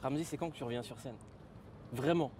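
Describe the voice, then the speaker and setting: A man hosting a lively TV talk show, asking quick, teasing questions. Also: medium pitch, background noise.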